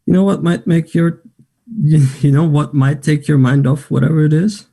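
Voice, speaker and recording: Man acting husky, creaky, pushy young lover. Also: fairly high pitch, steady tone, moderate pace, warm tone. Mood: coaxing. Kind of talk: play table read.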